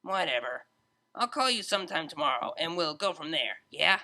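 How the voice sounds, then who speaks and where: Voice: medium pitch, sing-song, normal speed, bright, forceful tone, exaggerated voice. A narrator voicing a brash, sarcastic young female unicorn DJ who talks in a blunt, slangy way. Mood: blunt, irritated.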